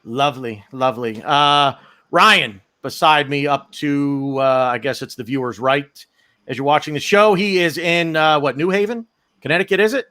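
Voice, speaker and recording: A man's voice: fairly high pitch, moderate pace, sharp tone. A man hosting a podcast in a loose, joking way, rambling through introductions in casual chat. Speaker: podcast host.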